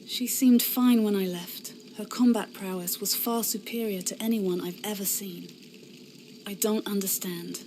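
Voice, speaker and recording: A woman's voice: medium pitch, fairly even intonation, measured pace, darkish tone, a little hiss or hum, natural voice. A woman playing an earnest, indignant young female warrior in a fantasy game cutscene. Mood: puzzled. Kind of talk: scripted video game cutscene acting.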